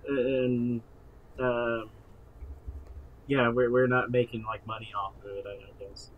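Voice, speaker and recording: A man chatting casually as a podcast co-host, halting and often stammering over repeated words. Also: mid-range voice, flat delivery, narrow, tinny audio. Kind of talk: casual chat.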